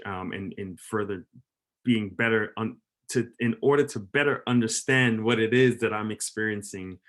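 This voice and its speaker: Artist on a virtual panel, a man with a lower voice who pauses and reaches for his words. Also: fairly bright tone. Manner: thoughtful, halting, many fillers. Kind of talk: online panel discussion. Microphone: video call.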